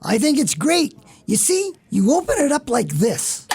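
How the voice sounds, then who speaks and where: Man playing a creaky, self-important old shop boss. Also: very high voice, huge pitch swings, unhurried, a little mellow, a little hiss or hum, heavily acted. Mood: excited, proud. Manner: commanding, self-important, sarcastic. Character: excitable, proud shop owner, an older male boss. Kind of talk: radio play acting.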